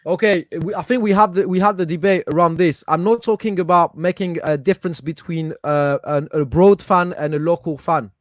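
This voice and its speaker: A high-voiced man with a French accent, raised in Paris, arguing his side in a casual podcast chat. He talks with passion and stumbles over repeated words as he hunts for the right phrase. The recording is close and dry, with no room sound. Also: brisk, few pauses, no echo. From Paris, France. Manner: passionate, repeats words while searching for them. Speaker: guest, football fan.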